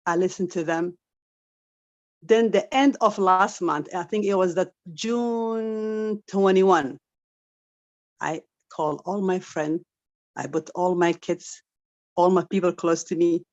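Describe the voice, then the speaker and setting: Woman, native Arabic speaker, lower register, halting repetitive English, giving a personal testimonial over a dull online call. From Somali family, grew up in Saudi Arabia. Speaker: guest member giving a testimonial. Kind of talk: unscripted testimonial. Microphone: video call.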